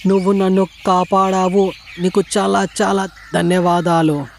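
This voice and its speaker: A man voicing earnest, respectful figures of court and forest in an animated moral story, with formal, declamatory dialogue. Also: high voice, a little slow, vocal fry, slight background noise. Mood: gentle, earnest.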